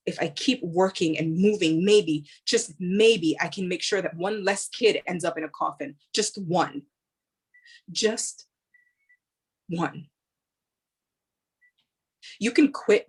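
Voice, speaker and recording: A woman's voice: lowish voice, husky, exaggerated voice. An actress playing a driven, weary woman police officer in a staged play reading, earnest and blunt in short emphatic phrases.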